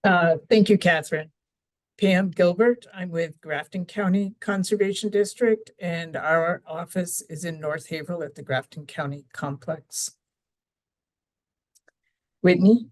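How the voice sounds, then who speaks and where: A woman's voice: lowish voice, fairly even intonation, a little slow, dry recording. A woman who manages a conservation district, introducing herself briefly and matter-of-factly on a video call.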